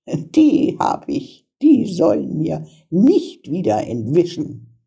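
A woman's voice: high-pitched, sing-song, slow pace, creaky, warm tone, breathy edge, voice pushed up, exaggerated voice. A woman voicing a spiteful old witch in a fairy tale, mocking and barking orders. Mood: gloating, mocking. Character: wicked old witch, spiteful, bossy, gloating child-eater. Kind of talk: fairy-tale storybook character voice.

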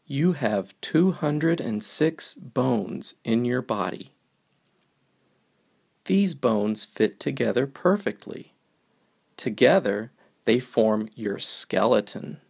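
A man narrating a picture book for young children, reading it aloud in simple, deliberate phrases. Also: mid-range voice, expressive, creaky, a little mellow.

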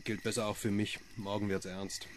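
An actor as low-voiced easygoing family father. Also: level tone, normal speed, a little hiss or hum, lightly acted. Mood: wry, relaxed. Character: easygoing adult male doctor and family father. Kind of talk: German radio play acting.

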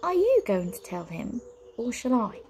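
A woman's voice: fairly high pitch, huge pitch swings, measured pace, warm tone, slight background noise, pitched above the performer's own voice. A woman voicing a sly, mischievous witch in a storybook, drawling with airy, mock-sweet theatricality. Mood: smug.

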